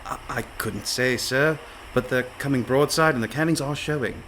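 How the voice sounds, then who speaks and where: An actor playing a nervous, deferential pirate first mate in a radio play, stammering politely and hesitantly. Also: male voice, mid-range voice, normal speed, fairly bright tone, natural voice, low-quality recording. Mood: nervous, apologetic. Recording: faint background noise.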